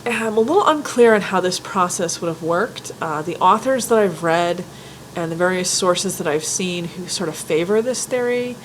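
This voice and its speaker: A woman with a stuffy head cold giving an informal spoken lecture, careful and candid, often pausing with ums and restarting her sentences. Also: mid-range voice, slight background noise, poor audio. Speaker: folklore writer and teacher.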